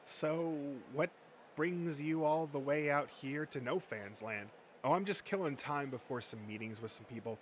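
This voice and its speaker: A man's voice: a little high, moderate pace, slight background noise, lightly acted. A man voicing an awkward, easygoing adult comic geek making hesitant, self-conscious small talk in a comic dub. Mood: awkward friendliness. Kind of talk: comic dub character acting.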